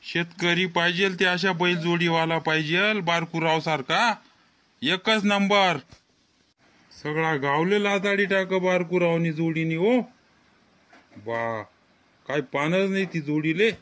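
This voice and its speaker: A man's voice: fairly high pitch, unhurried, fairly bright tone. A man voicing an admiring, chatty village onlooker in a comedy cartoon, emphatic and with an Ahirani accent. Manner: emphatic praising.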